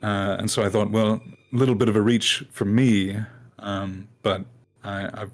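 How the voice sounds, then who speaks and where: A man with a fairly low voice recounts things casually as an interview guest. His manner is modest and a bit hesitant. Faint music plays in the background. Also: fairly even intonation, normal speed. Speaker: voice actor and narrator, guest.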